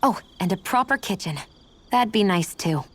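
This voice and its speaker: A woman playing a composed, quietly affectionate young adventurer in a video game, with a gently teasing manner. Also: medium pitch, lively intonation, normal speed, breathy edge. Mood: warm, wistful. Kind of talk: video game cutscene acting.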